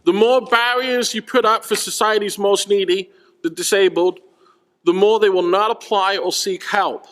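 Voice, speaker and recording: Man reading out his speech, high-ish voice, earnest, big hall echo. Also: fairly even intonation, normal speed, a bit bright. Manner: earnest, reading out a prepared text. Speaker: student speaking at a rally. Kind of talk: prepared public speech. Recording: hall with long reverb.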